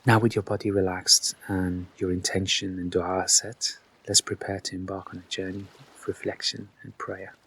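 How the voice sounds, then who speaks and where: A man with a fairly low, husky voice guides a meditation. His delivery is soft and breathy, with gentle, unhurried instructions addressed reassuringly to the listener. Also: level tone, rough recording.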